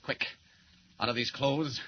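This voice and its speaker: An actor playing a confident, bold reporter hero in a brisk, declamatory 1940s radio style. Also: male voice, medium pitch, steady tone, lightly acted. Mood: bold, urgent. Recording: noisy, dull 1940s radio recording.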